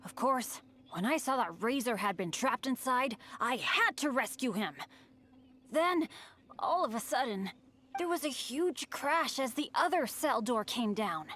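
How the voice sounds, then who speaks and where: A woman voicing an earnest, excitable teenage boy adventurer in an anime-style game, dramatic and exclamatory. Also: a little high, a little slow, slight background noise. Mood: eager storytelling.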